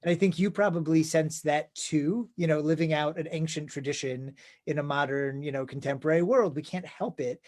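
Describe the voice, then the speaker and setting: Higher-voiced rabbi asking thoughtful questions. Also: male voice, a little fast, darkish tone. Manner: thoughtful, hesitant, restarts often. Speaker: rabbi, interviewer. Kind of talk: conversational interview.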